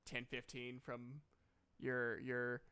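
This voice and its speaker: A man's voice: medium pitch, monotone, slow pace, sharp tone, clean tone. A man narrating a tabletop game as game master, casual and improvised, with joking, profane asides. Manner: jokey, profane.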